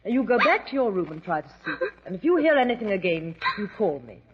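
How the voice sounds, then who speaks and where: A woman's voice: mid-range voice, very animated, fast talker, natural voice. An actress playing an anxious, polite young woman in a 1940s radio drama, with an earnest, formal manner. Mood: soothing. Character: anxious, polite young Englishwoman seeking a detective's help. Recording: dull, noisy old radio recording.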